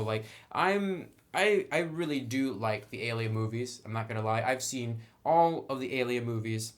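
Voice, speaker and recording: A man giving an informal, chatty review commentary with wry asides, as a tabletop game book reviewer. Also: mid-range voice. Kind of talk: casual scripted-light review commentary.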